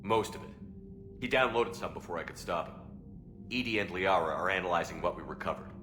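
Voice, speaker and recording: Male, game role: grim military starship captain, played by a male actor, low-pitched, terse, commanding, over noisy ambience. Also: fast, lightly acted. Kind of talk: video game cinematic acting.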